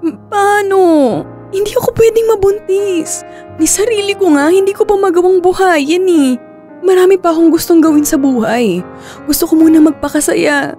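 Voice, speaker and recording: High-voiced anxious young Filipina. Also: female voice, moderate pace, mellow, soft background music. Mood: distressed, panicked. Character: anxious young working woman, unexpectedly pregnant. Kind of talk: Filipino radio drama acting.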